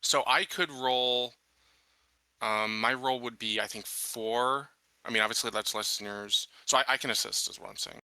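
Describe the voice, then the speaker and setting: A man's voice: mid-range voice, fairly even intonation, normal speed, bright, forceful tone, clean tone, no room sound, poor audio. A man playing a tabletop RPG, talking casually through his moves at the game table.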